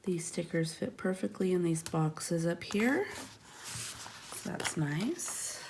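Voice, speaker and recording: Low gravelly-voiced woman in her thirties. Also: animated, slow, noisy background. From California. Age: 30s. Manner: chatty, rambling, murmurs softly while placing stickers. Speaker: planner vlogger. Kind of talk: casual plan-with-me narration. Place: at home, tabletop.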